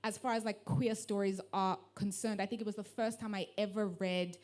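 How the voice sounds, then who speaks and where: A young woman from Africa, speaking thoughtfully on a book panel with pauses and restarts. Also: medium pitch, fairly even intonation, darkish tone. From South Africa. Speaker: panelist, online book lover. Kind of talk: casual panel talk.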